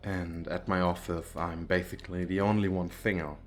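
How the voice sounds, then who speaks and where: A man's voice: sits low, flat delivery, moderate pace, faint music behind, lightly acted. A man playing a nervous, bashful, well-off young businessman on a date in an ASMR roleplay, with hesitant, stammering speech. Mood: polite, charming. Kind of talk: ASMR roleplay, one-sided conversation with the listener.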